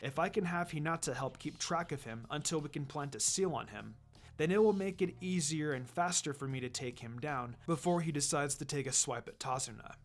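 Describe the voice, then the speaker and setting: A man voicing a calm, weary, commanding ninja teacher in a measured, matter-of-fact way, for a story reading. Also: fairly high pitch, faint music behind, close to an everyday voice. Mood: firm.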